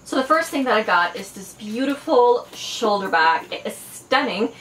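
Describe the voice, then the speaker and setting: A woman's voice: fairly high pitch, lively intonation, moderate pace, fairly bright tone. Chatty Farsi-speaking woman, echoey room. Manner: enthusiastic, chatty, frequent fillers. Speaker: fashion and beauty vlogger. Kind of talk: casual haul video. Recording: echoey room, faint background noise.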